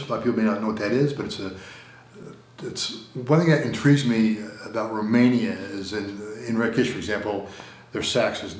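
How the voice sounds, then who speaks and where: Rambling American man, roomy studio. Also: lowish voice. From United States. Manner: rambling, repeats words, many fillers. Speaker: interview guest. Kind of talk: casual conversational interview. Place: recording studio room.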